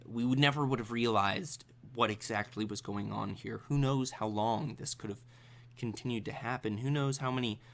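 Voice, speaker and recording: A man's voice: medium pitch, moderate pace, vocal fry, lightly acted. A man voicing a grateful, earnest, slightly unsettled woman in a tabletop game, polite and hesitant. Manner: polite, hesitant, repeating words.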